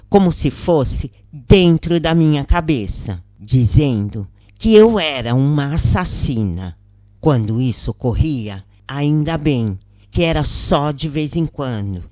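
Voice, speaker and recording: A woman with a Brazilian accent reading a first-person account as a measured, expressive audiobook narrator. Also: deep voice, no room sound, studio quality.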